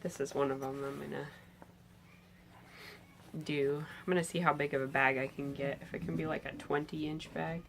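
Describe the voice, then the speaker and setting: A woman in her thirties, a little hoarse from a cold, chatting enthusiastically about her knitting projects in a bedroom, with many filler sounds. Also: deep voice, little pitch movement, normal speed, pauses often, a little hiss or hum. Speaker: knitting podcast host. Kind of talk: casual show-and-tell chat. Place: bedroom with pillows, indoors.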